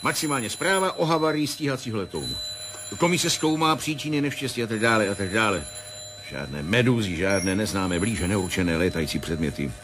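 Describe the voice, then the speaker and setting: A military colonel, acted by a man with a fairly low voice. He is brooding and inquisitive, probing others and musing aloud in a 1970s radio drama. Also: animated, noisy background, lightly acted. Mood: curt, dictating. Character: brooding male military colonel investigating a crash. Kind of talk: radio play acting.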